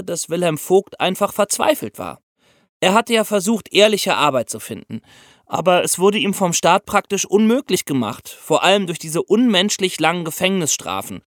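Animated, higher-voiced man explaining, dry sound. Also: no echo. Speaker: podcast co-host. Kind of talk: explanatory podcast talk for young listeners.

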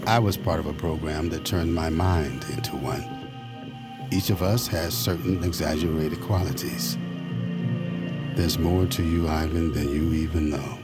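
Enigmatic psychic escapee, bottomless low pitch, calm, grave, measured, audio drama, heavy music behind. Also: male voice. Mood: grave, knowing.

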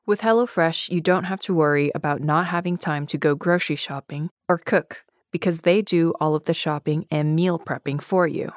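A woman's voice: low-pitched, normal speed, few pauses, dry recording, clean studio sound. A woman reading a scripted sponsor message in a conversational style, as in a podcast ad break. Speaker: podcast ad reader.

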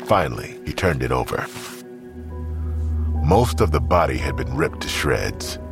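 A man with an extremely low voice narrates a crime drama. His delivery is measured and descriptive, with lively movement in pitch. Faint music plays underneath. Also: creaky.

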